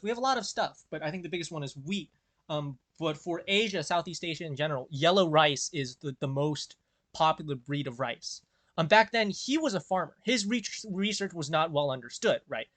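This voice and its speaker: An earnest young man with an American accent talks casually, wandering off and restarting his sentences often. His voice sits a little high for a man. The recording is dry, with no room sound. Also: a bit bright, no echo. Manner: rambling, many restarts and fillers, earnest. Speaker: video creator. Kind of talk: casual rambling commentary.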